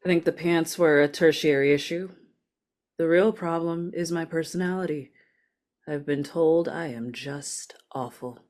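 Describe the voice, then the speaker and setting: A woman's voice: low-pitched, a little slow, a little mellow. A woman in a script table read, playing a prim, self-deprecating, bumbling office supervisor. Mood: glum, self-critical.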